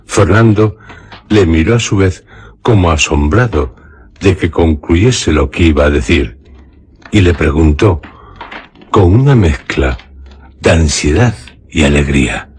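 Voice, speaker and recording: A man narrating a literary radio drama in a measured, solemn way. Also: extremely low pitch, expressive, darkish tone, breathy edge.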